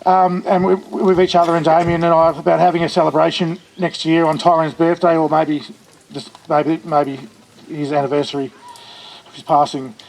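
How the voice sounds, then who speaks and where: A high, flat-toned man speaks at a funeral for his childhood friend. His delivery is earnest and halting, with frequent restarts. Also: vocal fry, a little hiss or hum, poor audio. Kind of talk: funeral eulogy.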